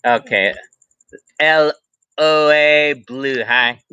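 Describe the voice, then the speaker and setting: A man's voice: fairly high pitch, drawn-out words, pushed and bright, clear, resonant tone, no echo, dull audio. A man in his forties on a casual livestream, reading viewer comments aloud and rambling in a repetitive way, restarting his phrases. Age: 40s. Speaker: livestream vlogger.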